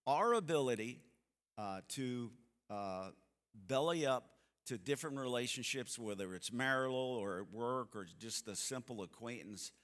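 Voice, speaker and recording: Bright-voiced older man in a reverberant church. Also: mid-range voice, moderate pace. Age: 70s. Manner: folksy, animated storyteller acting out quotes. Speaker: seminar speaker. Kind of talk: church seminar talk with storytelling. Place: church sanctuary stage, seated. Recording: long hall reverb from the sanctuary.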